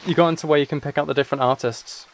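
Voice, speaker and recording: A man's voice: a little high, steady tone, very fast, a little hiss or hum. A tired-sounding man chatting casually on a gaming livestream, rambling and interrupting himself as he replies to viewers. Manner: rambling, self-interrupting, replying to chat comments. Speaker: livestreamer and musician.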